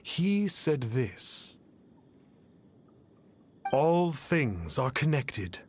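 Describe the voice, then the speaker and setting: Video game sage: lofty, enigmatic man, archaic diction, unhurried, expressive mid-range voice. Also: mid-range voice, slight background noise. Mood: sagely, serene. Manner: formal archaic speech, grandiose, measured. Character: enigmatic self-styled adeptus, pompous and serene man. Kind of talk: scripted video game dialogue.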